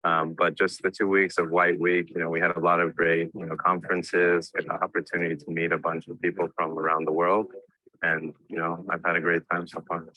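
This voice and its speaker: A man from New York, a graduate student giving a relaxed testimonial in a promotional video, with many "you know" fillers. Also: low-pitched, a little fast, no room sound, muffled recording. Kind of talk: testimonial interview.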